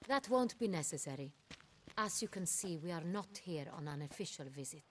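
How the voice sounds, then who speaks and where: Woman as imperious duchess, lowish voice. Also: lively intonation, slight background noise, lightly acted. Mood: gracious, regal. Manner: formal, authoritative, measured. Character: imperious, commanding young duchess, regal and sharp-tempered. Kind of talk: video game voice acting.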